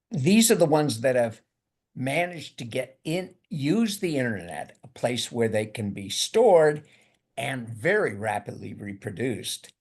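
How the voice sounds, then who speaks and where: An older man giving a lecture in a loose, conversational way, often repeating words as he thinks. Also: mid-range voice. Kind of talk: university lecture with discussion.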